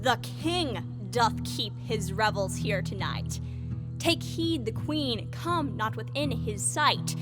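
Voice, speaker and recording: A mischievous fairy jester, acted by a woman, boasts in a high voice. The Shakespearean verse comes slowly and with relish, as in an audio play, over instrumental music. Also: animated. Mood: warning, storytelling.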